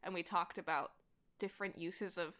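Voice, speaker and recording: Podcast guest: young woman, bright tone, casual, animated, rambling delivery. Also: on the low side, moderate pace. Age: young adult. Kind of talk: casual conversation about comics.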